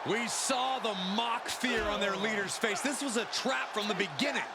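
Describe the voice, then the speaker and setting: A man's voice: high voice, normal speed, vocal fry. A man playing a cynical, ominous color commentator at a wrestling show in a video game. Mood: grim warning. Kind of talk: dramatic sports commentary. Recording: loud arena crowd noise behind.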